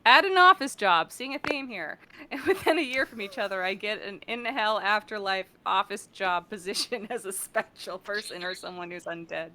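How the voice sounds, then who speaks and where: A woman, a guest voice actor, chatting casually on a stream in a playful, animated manner. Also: medium pitch, normal speed.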